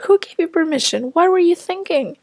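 A woman's voice: extremely high pitch, lively intonation, brisk, darkish tone, no echo. A woman voicing a mother who firmly scolds her young daughter. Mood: scolding. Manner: firm, scolding.

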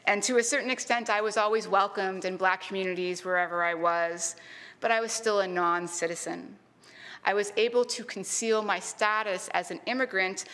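Woman, middle-aged, from Canada, history lecturer, measured earnest delivery, mid pitch, long hall reverb. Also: fairly bright tone. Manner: measured and earnest, with deliberate emphasis. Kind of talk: reading a prepared public lecture.

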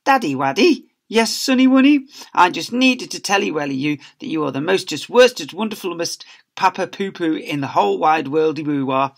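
A man's voice: a little high, animated, moderate pace, vocal fry, voice pushed up. A man voicing a gushing, weepy father who talks in syrupy, sing-song baby talk. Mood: gushing, tearful.

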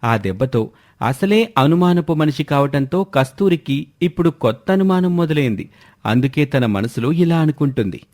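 A man's voice: a little high, lively intonation, normal speed, a little mellow. A man narrating a scripted moral story as the storyteller.

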